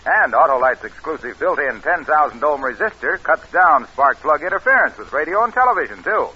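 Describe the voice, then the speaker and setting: An eager vintage radio pitchman, bright and lively, selling with emphatic patter. The recording is dull and old. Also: fairly quick, breathy edge, full character voice. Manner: emphatic, salesy. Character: eager spark plug pitchman chatting with in-law. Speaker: commercial announcer. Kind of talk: 1940s radio advertising patter.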